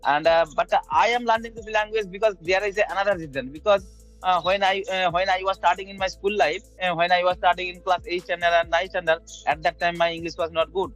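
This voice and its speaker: Young man, English learner, video call, high voice, fast halting speech, many fillers, faint music behind. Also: fairly even intonation, fairly bright tone, low-quality recording. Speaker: English practice partner asking questions. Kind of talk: casual English practice conversation on a video call.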